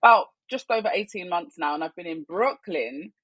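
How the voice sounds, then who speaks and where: Young West London woman, expressive and chatty, dry close sound. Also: fairly low pitch, normal speed, slightly rough, dry recording. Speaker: guest, workplace culture consultant. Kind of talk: casual interview chat.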